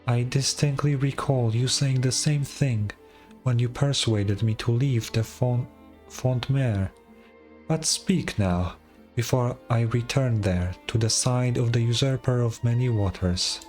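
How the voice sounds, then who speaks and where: A man's voice: mid-range voice, a little mellow, soft background music, natural voice. A man voicing an ancient, proud dragon lord from a fantasy game, stern and scornful in a grand, formal manner. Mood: scornful, solemn. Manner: grandiose, formal, deliberate delivery. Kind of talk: dramatic fantasy game dialogue.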